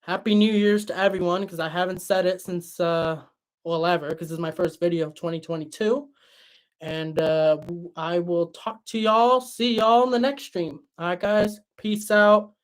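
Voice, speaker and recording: A young man hosting a livestream and answering chat in a relaxed, chatty way, his voice tired after hours of talking. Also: high voice, moderate pace. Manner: relaxed, chatty, many fillers and restarts. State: voice tired after a long stream. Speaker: livestream host reading chat.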